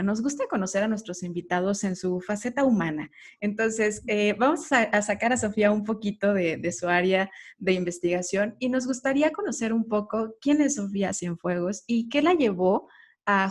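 Woman host, interviewing a guest, measured and appreciative. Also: mid-range voice. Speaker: podcast host. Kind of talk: interview hosting.

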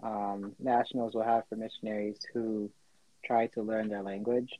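A man's voice: lowish voice, level tone, moderate pace, dark tone. A man working as a missionary, speaking earnestly and steadily in casual conversation. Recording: dull dry recording.

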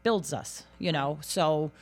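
A woman's voice: low voice, takes it slowly. A woman hosting a casual podcast chat, talking heartfelt and personal, with many "like" and "you know" fillers. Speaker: podcast host.

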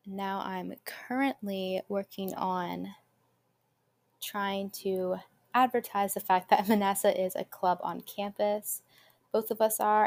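A young woman chats casually on her own podcast, upbeat and a little rambling, slipping in quick asides. Her voice is naturally quiet, and her pitch sits in a middle range. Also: creaky. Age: young adult. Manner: chatty, upbeat, quick asides with light laughs. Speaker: podcast host, college student. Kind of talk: casual solo podcast chat.

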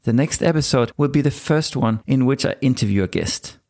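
A man in his forties with a Danish accent, hosting his own podcast and telling his life story in a calm, measured monologue. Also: medium pitch. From Denmark. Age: 40s. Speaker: podcast host. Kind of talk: scripted solo podcast monologue.

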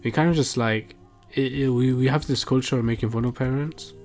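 A man's voice: medium pitch, fairly even intonation, brisk, a little mellow, soft background music. A young British man chatting casually as a podcast co-host, with a slangy, emphatic way of talking.

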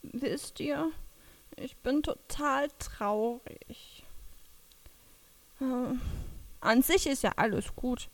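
A woman's voice: sits high, takes it slowly, heavily acted. A woman voicing a timid, anxious young female sheep who stammers and bleats between her words in a children's audio drama. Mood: sad, anxious.

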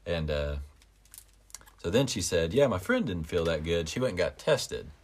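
Casual young male podcast guest, low voice, laid-back rambling storyteller. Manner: relaxed, drawn-out telling with many "like" fillers.

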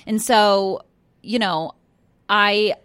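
A woman's voice: mid-range voice, drawn-out words, fairly bright tone, clean tone. A young woman from the United States, chatting as a guest in an interview, giggly and self-mocking. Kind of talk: casual interview chat.

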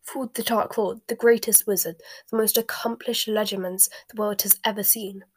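A woman reading the lines of a cold, sardonic middle-aged male wizard in a hushed, breathy voice. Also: medium pitch, steady tone, no room sound, lightly acted. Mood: cool, sardonic. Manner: slow, sneering, measured.

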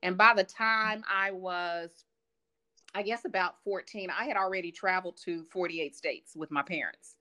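A woman's voice: fairly low pitch, moderate pace, sharp tone. Woman from Kansas City telling travel stories. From Kansas City, Missouri. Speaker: guest. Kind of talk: casual interview chat.

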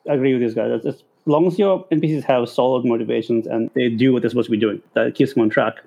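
A man's voice: medium pitch, quick pace, creaky, mellow, dull audio. A man from Cape Town, chatting on a panel about gaming, rambling with chuckles and restarts. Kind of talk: casual chat on a panel.

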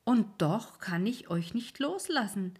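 A woman voicing an old male ferryman in a sleep story, loud and raised above her soft reading. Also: medium pitch, very animated, takes it slowly, slightly rough, pitched above the performer's own voice, full character voice. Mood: stern. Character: old male ferryman, patient but alarmed.

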